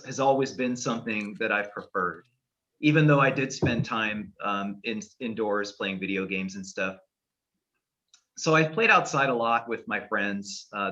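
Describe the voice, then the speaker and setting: A man's voice: on the low side, pushed and bright, dull audio. A middle-aged man from California, a guest astrologer, talking casually and meandering with fillers and restarts on a podcast.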